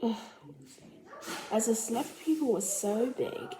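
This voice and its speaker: A woman reading a children's biography aloud like a storybook, carefully and a little haltingly. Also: fairly high pitch, mellow, a little hiss or hum, rough recording. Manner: careful, halting reading with stumbles and self-corrections. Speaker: storybook reader.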